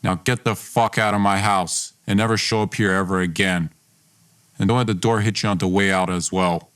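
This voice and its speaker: A man's voice: low voice, fairly even intonation, moderate pace, a bit bright, clear, resonant tone. A man playing a hostile, bitter young best friend in dramatized dialogue, accusatory and confrontational. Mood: angry, final.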